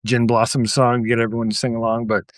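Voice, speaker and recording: A man's voice: medium pitch, flat delivery, fast talker, dry recording, clean studio sound. A man speaking casually as a guest on a music podcast.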